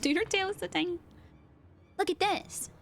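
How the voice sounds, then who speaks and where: Playful, high-voiced woman streamer. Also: moderate pace, gravelly, fairly bright tone. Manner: playful, slangy. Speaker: streamer, amateur voice actor. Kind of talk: casual livestream game chat.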